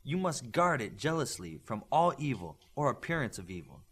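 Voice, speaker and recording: A male narrator plays a stern mentor of young superheroes in an audiobook. He lectures firmly and with principle, leaning on rhetorical questions, his mid-pitched voice rising and falling emphatically. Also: very animated, moderate pace, fairly bright tone, slightly rough, full character voice. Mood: stern, lecturing. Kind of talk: audiobook character dialogue.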